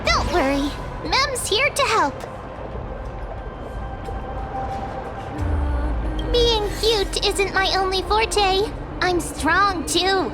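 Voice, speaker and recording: A woman's voice: very high voice, lively intonation, takes it slowly, music in the background, exaggerated voice. A woman voicing a tiny, cute, eager magical companion creature with a childlike manner in a video game. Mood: proudly reassuring.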